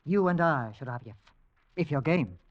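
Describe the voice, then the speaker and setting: A fairly high-voiced, cool-headed man played by a male actor speaks with clipped authority. He sounds like a decisive hunter in a vintage radio play, on a slightly muffled recording. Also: lively intonation, moderate pace, close to an everyday voice. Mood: grim, resolute. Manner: clipped, commanding, formal. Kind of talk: old-time radio drama acting.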